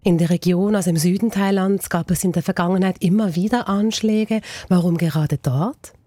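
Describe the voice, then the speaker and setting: A woman's voice: fairly low pitch, normal speed, warm tone, no echo, clean studio sound. A woman interviewing a correspondent for radio news with prepared questions.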